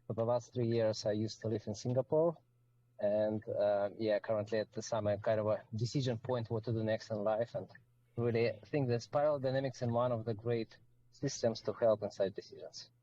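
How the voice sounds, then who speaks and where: A man from Russia introducing himself on a video call, halting and full of fillers. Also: on the low side, steady tone, mellow, muffled recording. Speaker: meeting participant. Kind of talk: casual self-introduction.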